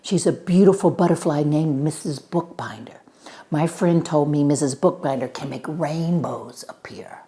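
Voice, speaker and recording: A woman voicing a secretive bug girl in a storybook, speaking in a conspiratorial hushed whisper. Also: low voice, moderate pace. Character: bug girl, secretive gossiping big-eyed sister.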